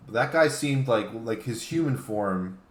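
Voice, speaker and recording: A man's voice: mid-range voice, lively intonation, moderate pace, crowd noise. A man chatting casually and jokingly as a panelist. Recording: roomy, echoing sound.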